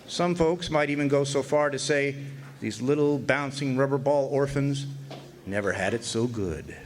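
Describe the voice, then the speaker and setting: A man's voice: mid-range voice, slight background noise, close to an everyday voice. An actor playing a wry, folksy older man who narrates his Arizona orphan boyhood in a radio drama. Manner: folksy, wry, drawn-out storytelling. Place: live stage performance in a hotel.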